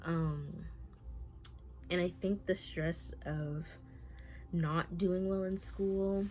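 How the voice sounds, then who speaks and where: Nervous young woman with a low voice. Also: fairly even intonation, drawn-out words, a little mellow. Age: 20s. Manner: nervous, rambling and confessional, many fillers. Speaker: vlogger. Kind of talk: candid talking-to-camera update.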